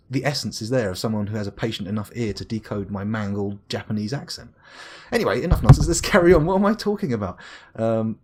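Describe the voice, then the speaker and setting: English guy chatting on a game stream, lowish husky voice, rambles and jokes, bounces all over in pitch. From England. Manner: rambling, chatty, self-mocking, lively swings into exclaimed bits. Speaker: gaming streamer reading chat. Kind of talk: casual live-stream chat.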